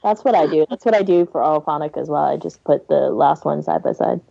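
A woman's voice: low-pitched, fairly quick, gravelly, warm tone, no echo. A woman co-hosting a podcast in casual conversation, on a slightly dull microphone. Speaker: podcast co-host. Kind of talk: casual panel chat.

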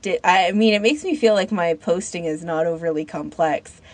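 A woman on a podcast panel chatting casually in an informal, halting way, as if thinking aloud. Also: fairly low pitch. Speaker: podcast panellist recommending fan audio fiction. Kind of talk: casual chat.

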